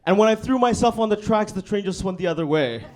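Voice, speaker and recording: A young man from Bangladesh performs a stand-up set in a comedy club, his voice sitting a little higher than most men's. He delivers his lines in a laid-back, deadpan way, often tagging them with "you know what I mean". The sound comes through a handheld stage mic. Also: fast.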